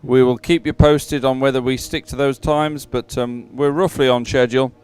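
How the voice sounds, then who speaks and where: A man giving live sports commentary in a chatty, somewhat halting announcing style. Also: medium pitch, flat delivery, a little mellow, clean tone.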